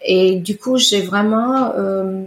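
A middle-aged woman whose first language is Italian, talking about her work as a guest in an interview, pausing often with fillers and restarts. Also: medium pitch. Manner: hesitant, many fillers and restarts. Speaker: iridologist guest. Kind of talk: conversational interview. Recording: roomy echo.

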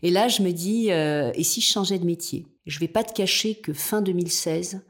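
Low, husky-voiced businesswoman in her fifties. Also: moderate pace. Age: 50s. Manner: reflective, determined, quotes her own inner thoughts. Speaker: entrepreneur guest. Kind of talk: personal storytelling in interview.

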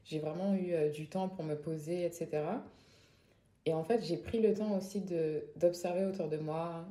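A young woman with a Parisian accent, a singer talking casually as an interview guest, relaxed with frequent laughs and fillers. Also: lowish voice, normal speed. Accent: Parisian French. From French Caribbean family. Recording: echoey room.

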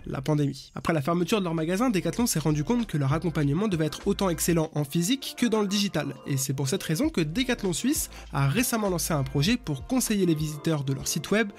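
Animateur rapide au ton d'annonceur. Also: male voice, a little high, lively intonation, faint music behind. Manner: brisk, announcer-like delivery. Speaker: podcast host. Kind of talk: scripted intro then interview questions.

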